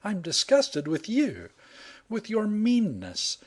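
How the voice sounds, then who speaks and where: A man reading aloud and voicing an emotional young woman, trapped by the tide and later indignant, in a high, strained voice. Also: wildly swooping pitch, takes it slowly, heavily acted. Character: anxious excitable young woman in peril.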